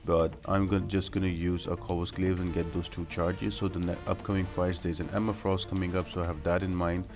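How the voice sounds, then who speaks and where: A man's voice: low voice, little pitch movement, a little fast. A man giving casual, step-by-step game walkthrough commentary in an explanatory, instructional way. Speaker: gaming video creator giving fight tips. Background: music behind the voice.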